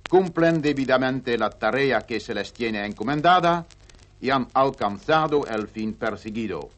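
A man with a Dutch accent, Spanish not his first language, giving a measured, ceremonious prepared speech as a radio program director. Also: mid-range voice, fairly bright tone.

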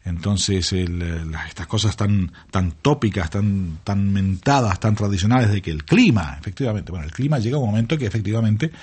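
An older man talks at length in a radio studio. His voice sits low and a little husky. He muses aloud, trailing off into fillers and little tag questions as he goes. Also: animated, a little fast, few pauses, a little mellow. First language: Italian is his second mother tongue. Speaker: retiring radio colleague, interview guest. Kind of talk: relaxed conversational interview.

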